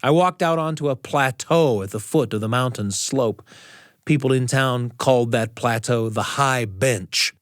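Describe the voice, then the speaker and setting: A man's voice: mid-range voice, measured pace, dry recording. An American man hosting a storytelling radio show, speaking in a studio and narrating in a personal, conversational way.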